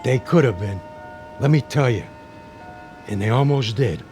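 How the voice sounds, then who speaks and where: A man's voice: mid-range voice, unhurried, darkish tone, a little hiss or hum, close to an everyday voice. An actor playing a nostalgic middle-aged working man who talks in a folksy, clipped way in a radio drama. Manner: folksy, reminiscing, clipped casual phrasing.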